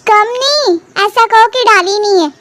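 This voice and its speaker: Whiny, very high-pitched young sister-in-law acted by a woman. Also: unhurried, a bit bright, no echo. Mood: disappointed. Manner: dramatic, complaining. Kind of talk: animated family story dialogue.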